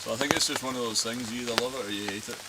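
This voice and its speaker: A laid-back man with a Scottish lilt blurred by time in Cornwall and Canada chats by a campfire, laughing often. His voice sits at an ordinary middle pitch for a man. Steady rain patters clearly behind him outdoors. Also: very fast, very noisy. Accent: Scottish, mixed with time in Cornwall and Canada. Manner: relaxed, chatty, laughs easily. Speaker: outdoor vlogger. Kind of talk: casual chat by a campfire. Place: woodland camp outdoors in heavy rain.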